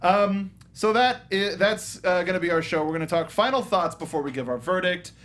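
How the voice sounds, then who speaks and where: A man's voice: sits high, brisk, a bit bright. A man hosting and moderating a film review panel in casual, conversational talk.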